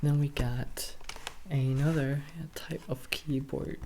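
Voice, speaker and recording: A man doing a soft-spoken ASMR roleplay as a shop assistant, talking in a hesitant, halting, unhurried way. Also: mid-range voice, fairly even intonation, background noise. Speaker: ASMR creator playing a keyboard shop assistant.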